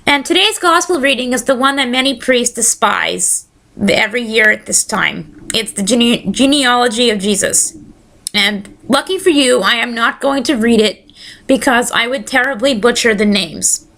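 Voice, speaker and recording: A woman hosts a casual solo podcast, talking easily and shifting into a steady reading voice. Her voice sits a little high with a bright, forward edge, and it is slightly scratchy. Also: moderate pace, vocal fry. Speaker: podcast host.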